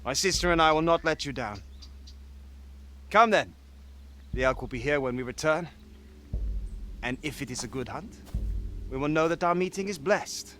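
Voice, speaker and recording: Game role: young Norse warrior, fairly high, animated, earnest and persuasive. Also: male voice, moderate pace, pauses often. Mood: resolute. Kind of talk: dramatic video game acting.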